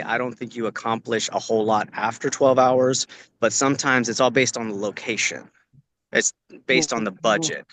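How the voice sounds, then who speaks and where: Working actor, male, American. Bright, forceful tone, earnest emphatic delivery, casual Q&A. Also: mid-range voice, a little hiss or hum. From Orange County, California. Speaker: working actor answering questions. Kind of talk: casual spoken advice to acting students. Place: outdoors on location, on a video call.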